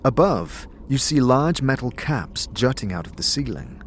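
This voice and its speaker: A man's voice: medium pitch, sing-song, a little slow, slight background noise. A man narrating a fantasy audio drama in a descriptive, dramatic storytelling style with shifting emphasis. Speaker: narrator and game character in an audio series.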